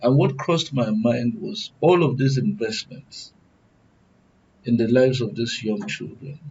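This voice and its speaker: A man with a Nigerian accent giving serious panel commentary in a deliberate, emphatic, oratorical way. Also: medium pitch, vocal fry, darkish tone, dull audio, slight background noise. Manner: deliberate, emphatic, oratorical, repeats phrases for weight. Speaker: guest commentator, good governance advocate.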